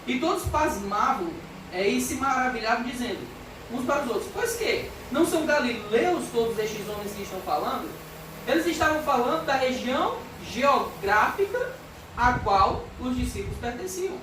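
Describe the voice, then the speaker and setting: A woman with a Brazilian Portuguese accent preaches earnestly, pressing each point and reading scripture aloud. Her voice sits a bit high and sounds distant from the mic. The recording is full of hall echo, with clear background noise. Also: lively intonation, moderate pace. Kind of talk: expository sermon. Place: church hall. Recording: echoey church hall from far off, background noise.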